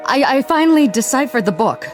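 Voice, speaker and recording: An actress playing a desperate woman in a radio drama, pleading urgently and haltingly with a colleague. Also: sits high, sing-song, over music. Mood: anguished.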